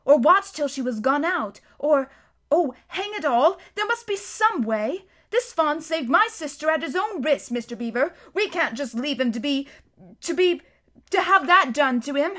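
A woman's voice: high-pitched, lively intonation, moderate pace, fairly bright tone, pitched above the performer's own voice. Woman doing an eager, stammering young boy.

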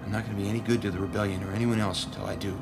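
An actor playing a cocky, sardonic, impatient smuggler pilot in a radio drama, brash and casual, with music behind him. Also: male voice, on the low side, level tone, very fast, creaky. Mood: brusque, wry.

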